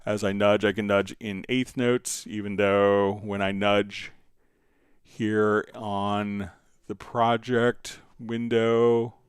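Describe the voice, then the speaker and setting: Low, smooth-voiced man explaining software. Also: flat delivery, slow, no room sound, studio quality. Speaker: livestream host answering viewer questions. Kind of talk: unscripted software tutorial, casual explaining.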